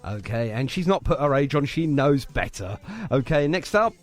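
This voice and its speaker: A man's voice: mid-range voice, very animated, moderate pace. A man hosting a radio show in a chatty, jovial, bantering manner. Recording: music playing underneath the voice. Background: music bed.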